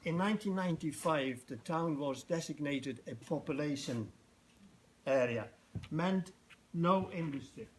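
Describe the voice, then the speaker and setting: An older man with a Dutch accent giving an informal public talk in a halting, anecdotal way. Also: a little high. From Netherlands. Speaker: festival organiser giving a presentation. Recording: noticeable background noise, live talk.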